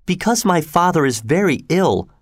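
Male narrator as young woman, raised pitch, impatient, defiant, indignant, storybook character, dry recording. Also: very animated, normal speed, no echo. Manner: sharp, indignant. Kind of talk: audiobook character voice.

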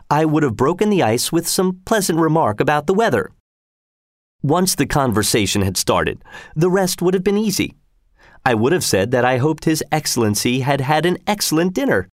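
A man voicing a pompous, self-important Swiss gentleman who lectures his friends. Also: fairly high pitch, no room sound, clean studio sound, pitched above the performer's own voice, lightly acted. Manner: pompous, fussy.

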